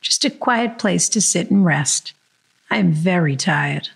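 A woman's voice: fairly low pitch, animated, measured pace, creaky, husky, full character voice. A woman voicing a self-absorbed, demanding storybook boy who sounds plaintive. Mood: tired, plaintive. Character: needy, self-absorbed boy who grows old and weary.

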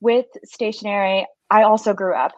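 A woman's voice: mid-range voice, steady tone, moderate pace, a little mellow, no room sound. Thirties woman from Singapore, friendly filler-heavy chat, dry slightly dull line. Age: 30s. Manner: friendly, uses many fillers like "like" and "um". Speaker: stationery shop owner, guest. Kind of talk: casual interview chat.

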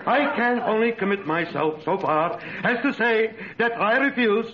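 High-pitched, pompous waiter. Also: male voice, animated, a crowd in the background. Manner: pompous, mangled word order, deliberate. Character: pompous, dim, high-class immigrant waiter, middle-aged or older man. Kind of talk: 1940s radio comedy acting. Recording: dull old radio recording, laughing studio audience.

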